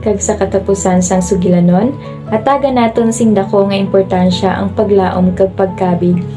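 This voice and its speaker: A woman's voice: medium pitch, steady tone, moderate pace. A woman with a Filipino accent reading scripted narration for a radio drama. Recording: music bed behind the voice.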